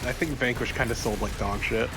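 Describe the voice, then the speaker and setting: A man's voice: medium pitch, steady tone, a little fast, background noise. A man co-hosting a let's play, chatting casually in a blunt, dry, sweary manner. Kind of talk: casual gameplay chat.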